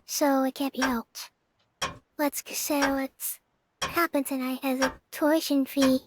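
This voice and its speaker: A teenage girl doing a casual let's play, reading a visual novel aloud and commenting, with halting delivery and repeated words. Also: sits high, little pitch movement, background noise.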